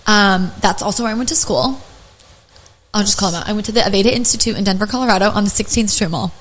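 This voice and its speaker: A young adult woman with a raspy voice, hosting a podcast and telling stories in casual chat full of fillers and restarts. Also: medium pitch, quick pace, low-quality recording. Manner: chatty storyteller, many fillers and restarts. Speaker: podcast host.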